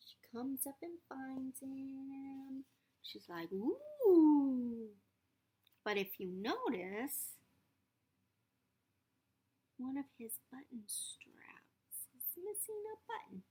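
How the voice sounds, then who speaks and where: Animated, high-voiced woman reading a storybook. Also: slow pace, pauses often. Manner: coaxing, asks the young listener questions. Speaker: storybook reader for young children. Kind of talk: expressive read-aloud with chatty asides to children.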